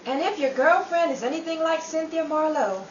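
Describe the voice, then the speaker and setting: A woman playing a chatty, playful host introducing a theatrical 1930s radio show, with dramatic pauses. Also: sits high, expressive, roomy sound, far from the mic, muffled recording, slight background noise. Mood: playful, teasing.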